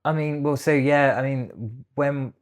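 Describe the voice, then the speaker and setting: A man's voice: medium pitch, steady tone, unhurried. A British man in his thirties speaking as an interview guest, recounting personal experiences in a reflective, hesitant way.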